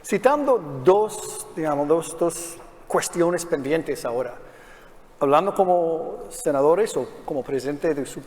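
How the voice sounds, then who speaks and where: Moderator speaking Spanish with an English accent. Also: male voice, on the high side, expressive, a little slow, a little mellow. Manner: hesitant, many fillers and restarts. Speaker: panel moderator. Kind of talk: moderating a political panel. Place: conference hall. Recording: long reverb of a conference hall.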